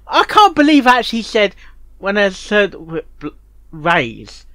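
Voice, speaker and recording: A host gives off-the-cuff reaction commentary in a dry recording. The pitch moves around a lot, and the delivery rambles, doubling back on words and phrases. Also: sing-song, a little slow, bright, forceful tone, no room sound. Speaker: reviewer and host of a fanfiction reaction show.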